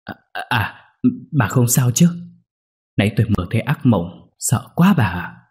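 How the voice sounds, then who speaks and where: A man's voice: mid-range voice, big pitch swings, unhurried, a little mellow. A man voicing an anxious, caring older village husband in a horror audio story. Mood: shaken.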